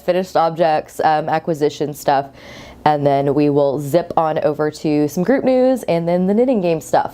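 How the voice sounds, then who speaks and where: Low-voiced, congested woman host. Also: warm tone. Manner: chatty, rambling, friendly asides. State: congested from a cold, sniffly. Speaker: podcast host. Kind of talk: casual knitting podcast chat.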